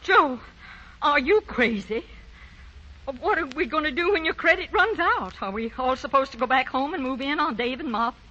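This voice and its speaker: A woman's voice: high-pitched, animated, moderate pace, bright, forceful tone. An actress playing a strong, loving, easily exasperated older mother in a 1940s radio drama, plain-spoken and emphatic. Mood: exasperated, scolding. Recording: muffled 1940 radio recording, music behind.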